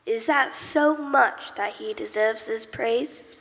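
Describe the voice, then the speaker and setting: A stately spirit played by a woman questions calmly in a live radio play. The voice is slightly high and very unhurried, ringing in a long church-like reverb. The manner is measured and probing. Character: ghost of Christmas past, a calm, probing spirit. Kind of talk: live radio drama of a classic story.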